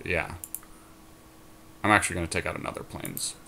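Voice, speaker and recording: A man talking casually through a card game, reasoning and counting aloud in a deliberate way. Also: low voice, fairly even intonation, gravelly, sharp tone, a little hiss or hum. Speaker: podcast co-host and card game player.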